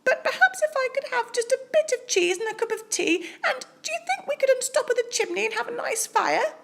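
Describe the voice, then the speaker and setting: Woman voicing a tiny, squeaky-high polite storybook mouse. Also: lively intonation, fairly quick, vocal fry, full character voice. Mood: apologetic, polite. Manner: courteous, apologetic, gently persistent. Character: small polite eager male mouse. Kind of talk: children's storybook character voice.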